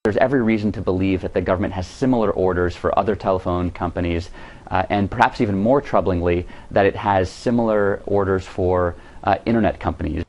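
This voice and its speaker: A man gives a measured interview comment. His voice is deep, well below average for a man. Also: a little mellow.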